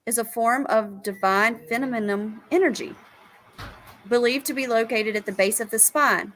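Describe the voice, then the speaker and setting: A woman's voice: fairly high pitch, moderate pace, fairly bright tone. Woman host reading aloud. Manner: stumbles over unfamiliar terms. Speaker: show host. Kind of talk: reading aloud and hosting a panel.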